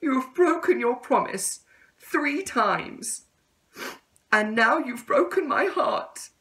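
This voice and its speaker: A man's voice: extremely high pitch, expressive, slow, bright, forceful tone, distant mic, pitched above the performer's own voice, exaggerated voice. A man voicing a sweet but reproachful young female caterpillar, lovestruck and demanding, in a children's storybook. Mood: heartbroken.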